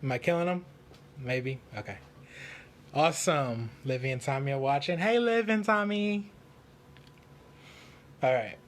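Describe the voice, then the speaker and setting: A man hosting a children's storytime in casual livestream chat, with a goofy, joking manner and drawn-out delivery. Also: a little high, lively intonation.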